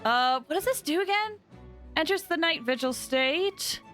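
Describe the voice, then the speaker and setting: A woman game streamer giving casual live commentary in an excitable, expressive, giggly way. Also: very high-pitched, a little slow, faint music behind.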